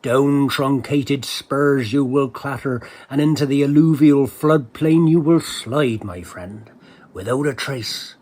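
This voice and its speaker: A man acts out an agitated, paranoid henchman with an English accent. His voice is husky and mid-pitched. He delivers a theatrical, slangy monologue, piling up words and repeating himself as he rants. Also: slow, a little mellow. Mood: mocking, ominous. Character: agitated paranoid henchman ranting about a superspy, colourful slangy storyteller. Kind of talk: dramatic monologue.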